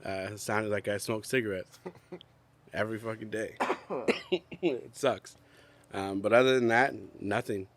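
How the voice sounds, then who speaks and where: A man's voice: fairly low pitch, fairly even intonation, a little slow, pauses often. A young adult man co-hosting a podcast outdoors, chatting casually with many fillers, still coughing and congested. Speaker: podcast co-host. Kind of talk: casual chat.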